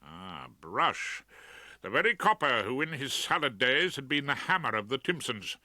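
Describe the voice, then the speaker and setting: Male, British, orotund wry storyteller for audiobook narration; cutting forceful tone, very dry sound. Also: medium pitch, very animated, moderate pace, dry recording. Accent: British English. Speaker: audiobook reader.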